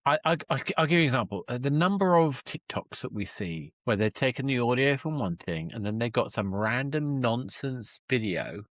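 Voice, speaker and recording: A man's voice: mid-range voice, lively intonation, moderate pace, few pauses, a bit bright, no room sound, studio quality. A man talking casually and discursively as a podcast host, musing as he goes.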